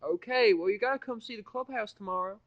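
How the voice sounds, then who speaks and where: Man putting on a high-pitched eager little filly. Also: fast talker, no room sound, lo-fi sound, natural voice. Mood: pleading, insistent. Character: young filly pony, girlish, eager and pleading.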